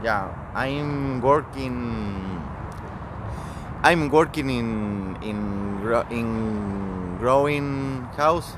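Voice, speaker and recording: A man from Chile with a Chilean Spanish accent, speaking halting English with many restarts as an interview guest. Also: mid-range voice, noisy background.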